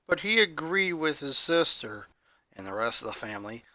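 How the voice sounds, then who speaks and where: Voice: medium pitch, big pitch swings, normal speed, sharp tone, no echo. A narrator reading a scripted story aloud. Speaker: fan fiction reader.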